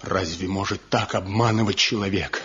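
A man's voice: low voice, steady tone, moderate pace, fairly bright tone. A man acting a tormented, jealous village craftsman in a radio drama, speaking in an emotional, lyrical and accusing manner. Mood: bitter, accusing. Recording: muffled 1970 radio drama recording, music behind.

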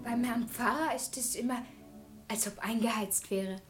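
A woman's voice: on the high side, steady tone, moderate pace, loud music behind the voice, close to an everyday voice. A woman playing a tender, naive young child in a radio drama, full of wondering questions. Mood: curious. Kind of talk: German radio drama acting.

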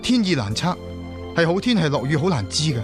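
A man's voice: a little high, big pitch swings, a little fast, music in the background. A man playing a shy, earnest young umbrella maker in a drama, with a hesitant, bashful manner. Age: young adult. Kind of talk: broadcast drama acting.

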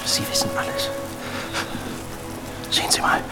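Actor as shrewd army scout over loud music. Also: male voice, lightly acted. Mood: hushed, ominous. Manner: deliberate, often hushed. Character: shrewd, calm Iroquois army scout, cryptic and watchful. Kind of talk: radio drama acting.

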